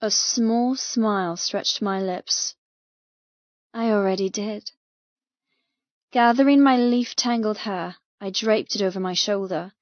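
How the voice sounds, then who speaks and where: A woman's voice: mid-range voice, slow pace, pauses often, no echo, muffled recording. Woman narrating dramatic fiction, very dry sound. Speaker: audiobook narrator. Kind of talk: dramatic scripted first-person narration.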